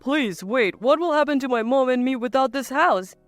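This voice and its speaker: A woman's voice: high-pitched, expressive, normal speed, dry recording, soft background music. A woman voicing an arrogant, scornful husband in a dramatized story, with blunt delivery. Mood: pleading. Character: arrogant, scornful adult husband, quick to bluster.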